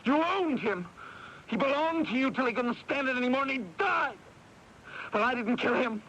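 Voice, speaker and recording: High-pitched, tormented son, noisy radio recording. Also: male voice, lively intonation, bright, forceful tone, lo-fi sound, full character voice. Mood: furious, accusing. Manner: agitated, repetitive, halting, accusatory. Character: tormented, guilt-ridden adult son with a fierce temper. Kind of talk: 1980s radio drama acting.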